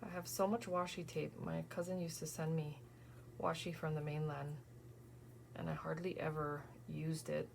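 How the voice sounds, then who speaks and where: A woman in her thirties, tired from an early morning, softly thinking aloud and often whispering as she works on a scrapbook page. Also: low voice, flat delivery, normal speed, creaky, a little hiss or hum. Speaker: scrapbooking crafter. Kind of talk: casual crafting vlog narration.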